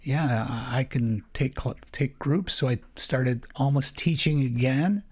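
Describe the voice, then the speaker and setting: A man's voice: medium pitch, measured pace, slightly rough. Older man telling stories, rambling. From Canada. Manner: rambling, warm, with frequent restarts and laughs. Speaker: podcast guest, retired teacher. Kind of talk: casual storytelling interview.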